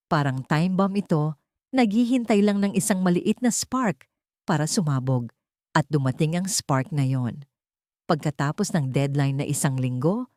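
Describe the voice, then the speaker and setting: A woman's voice: low voice, warm tone, dry recording, studio quality. A woman with a Filipino accent reading a scripted dramatic story as an audiobook narrator.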